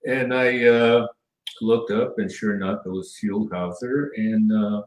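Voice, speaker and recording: A man from Southern California telling stories as an interview guest, rambling with many pauses and restarts. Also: lowish voice, fairly even intonation, far from the mic. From Southern California, near the Mexican border. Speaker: guest, former TV cameraman. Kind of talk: casual storytelling interview. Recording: echoey room, voice from off mic.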